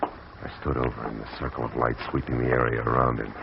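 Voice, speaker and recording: An actor playing a tough private detective, clipped and wry, narrating in old radio-drama style. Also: male voice, extremely low pitch, little pitch movement, fairly quick, gravelly. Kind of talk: 1940s radio acting. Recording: dull old radio broadcast with faint hiss.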